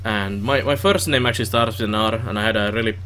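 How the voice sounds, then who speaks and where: Man, Finnish accent, heavy rolled R's, low voice, faint background noise, casual game commentary. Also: quick pace, bright, forceful tone. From Finland. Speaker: streamer. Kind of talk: casual let's play commentary.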